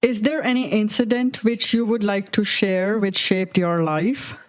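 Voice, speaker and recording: Woman interviewer with a smooth, clear voice, direct questions. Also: medium pitch, steady tone, unhurried. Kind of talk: interview questions and brief comments.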